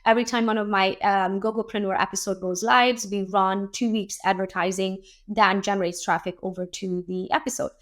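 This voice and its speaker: Hoarse woman teaching social media. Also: medium pitch, fairly even intonation, fairly quick, few pauses. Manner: practical, chatty, asks "right?" often. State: hoarse, losing her voice. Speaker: social media instructor and show host. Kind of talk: casual teaching talk.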